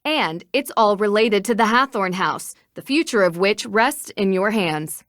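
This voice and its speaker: A woman's voice: medium pitch, expressive, a little slow, a bit bright, no room sound, clean studio sound. An actress playing a determined young female detective in a video game, speaking in an earnest, assertive, articulate way. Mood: assertive, accusing. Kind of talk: scripted video game voice acting.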